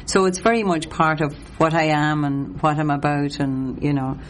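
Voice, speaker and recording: A woman's voice: low voice, fairly even intonation, normal speed, slight background noise. Reflective Irish woman from Donegal. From Donegal, Ireland. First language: Irish Gaelic. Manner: hesitant, restarts often, reflective. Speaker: singer, interview guest. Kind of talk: casual interview chat.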